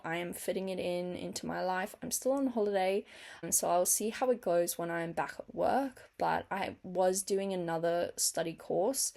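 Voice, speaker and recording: A woman's voice: lowish voice, gravelly, a little mellow. A young woman, a non-native English speaker, talking casually to camera as a vlogger in a chatty, earnest way. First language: not English. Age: young adult.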